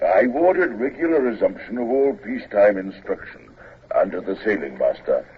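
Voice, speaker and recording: Brief: man as a dutiful officer, hesitant, lowish, vintage radio drama. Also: expressive, moderate pace, natural voice. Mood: deferential. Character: loyal male first lieutenant, respectful. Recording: tinny old radio broadcast with hiss.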